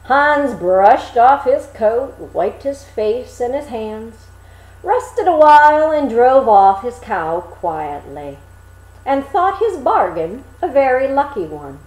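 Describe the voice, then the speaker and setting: A woman reading a fairy tale aloud as an expressive storyteller in a roomy-sounding space. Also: fairly high pitch, takes it slowly. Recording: roomy echo.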